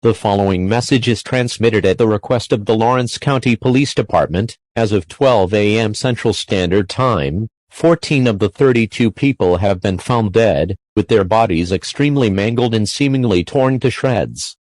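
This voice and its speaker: Low-voiced man reading an emergency alert. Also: normal speed, hardly any pauses, darkish tone, no room sound, rough recording. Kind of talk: scripted emergency broadcast announcement.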